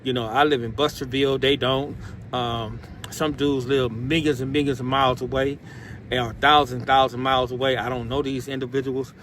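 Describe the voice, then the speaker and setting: A man's voice: mid-range voice, level tone, normal speed, fairly bright tone. An American man talking off the cuff like a vlogger giving advice, streetwise and emphatic, full of slang and addressing listeners as bro. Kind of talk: off-the-cuff motivational talk to camera. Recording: outdoor urban noise, passing vehicles.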